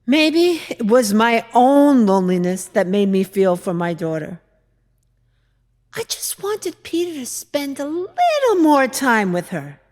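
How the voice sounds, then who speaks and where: A woman playing a fretful, opinionated widowed mother-in-law from biblical times in a confiding, deliberate dramatic monologue. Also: on the high side, big pitch swings, darkish tone. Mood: wistful. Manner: confiding, storytelling, deliberate with rhetorical pauses. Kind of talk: dramatic monologue reading in church. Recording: echoey hall with long reverb.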